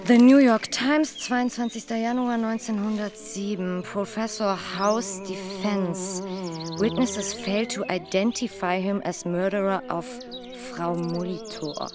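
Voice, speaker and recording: A woman playing a formal reader of letters and press reports in a radio play, measured and declamatory. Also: mid-range voice, fairly even intonation, music in the background, natural voice. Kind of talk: scripted reading in a radio play.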